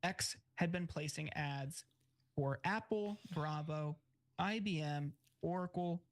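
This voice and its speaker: A slightly high-voiced man delivering news commentary. He speaks in a measured, matter-of-fact way. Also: no room sound.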